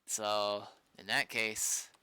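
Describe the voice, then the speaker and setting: A man talks casually to his stream while coding at a computer. His voice sits a little low but is strikingly bright, with a clean, clear tone. He is blunt and swears freely. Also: little pitch movement, extremely slow. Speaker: streamer, game server developer. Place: indoors at a computer.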